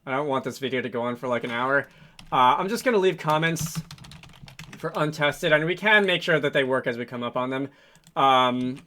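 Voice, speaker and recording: Bright-voiced man thinking aloud while coding. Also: a little high, slight background noise. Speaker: programming video host, game developer. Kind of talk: casual unscripted coding tutorial, thinking aloud. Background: computer keyboard typing and mouse clicks.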